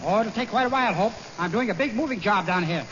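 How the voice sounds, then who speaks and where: A man doing a loony high-voiced mover. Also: lively intonation, fast talker, fairly bright tone, slight background noise. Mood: zany. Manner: wild laughter, goofy boasting. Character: zany male express mover, loud and daffy. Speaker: comic sidekick playing an express man. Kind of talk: 1940s radio comedy. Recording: dull old radio recording.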